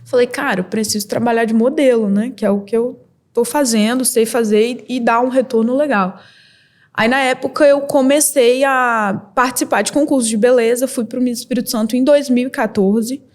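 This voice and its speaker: A young woman from Brazil, a model and entrepreneur, telling her story as a podcast guest in a candid, lively way with quick bursts and laughs. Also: on the high side. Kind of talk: casual interview storytelling.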